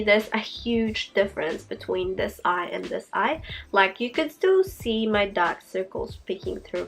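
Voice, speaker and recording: A young woman with a raspy, congested voice, clearly sick and breathing heavily as she talks. She takes her time, speaking in an unhurried, easy way through a casual beauty tutorial, with soft music playing faintly underneath. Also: mid-range voice. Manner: breathy, heavy breathing, light laughs. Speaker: beauty vlogger.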